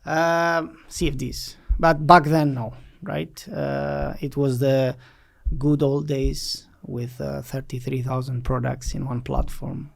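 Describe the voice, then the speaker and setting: A man with a Greek accent talks about his work as an interview guest. His voice is creaky and mid-pitched. He speaks haltingly, filling the gaps as he searches for phrasing. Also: little pitch movement. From Greece. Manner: halting, hesitant phrasing with frequent fillers. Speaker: podcast guest, finance company director. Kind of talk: interview answers recounting a career.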